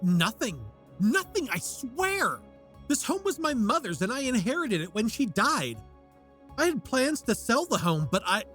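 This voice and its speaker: A man's voice: very high-pitched, very animated, a little slow, fairly bright tone, full character voice. A man playing a cowardly, guilty homeowner in an audio drama, stammering, pleading and defensive. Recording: faint music behind the voice.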